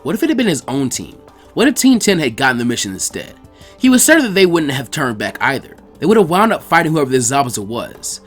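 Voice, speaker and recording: A man's voice: mid-range voice, sing-song, fast talker, soft background music. A man narrating fan fiction as a scripted story reading.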